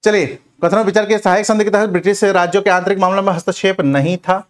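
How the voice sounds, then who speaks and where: Exam coach: male, slightly high-pitched, instructive lecture delivery, posing questions and answering them, with frequent reminders. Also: steady tone, fast talker. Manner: instructive, repeats check phrases like a classroom teacher.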